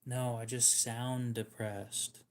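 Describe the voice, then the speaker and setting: A man hosting a livestream in casual chat, talking in a dry, monotone, subdued way that sounds depressed to him. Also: mid-range voice, slight background noise. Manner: dry, self-deprecating, unhurried. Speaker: livestream host.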